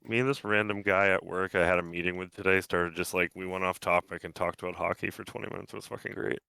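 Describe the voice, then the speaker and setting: A man's voice: deep voice, fairly even intonation, quick pace, vocal fry, sharp tone, clear, resonant tone, dry recording. A man from the US East Coast co-hosting a podcast, telling laid-back stories with plenty of swearing. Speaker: podcast co-host. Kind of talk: casual chat among friends.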